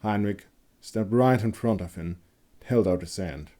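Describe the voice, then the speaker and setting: A man giving a dramatic scripted narration of a dark fantasy story. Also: lowish voice, unhurried. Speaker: author and narrator.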